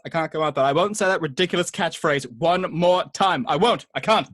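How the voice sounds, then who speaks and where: A man's voice: on the high side, a little fast, fairly bright tone. A man playing an irritable, theatrical classical actor who despairs of his role, melodramatic and self-pitying, in a comedy table read. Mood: anguished, indignant. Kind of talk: amateur table read of a film comedy script.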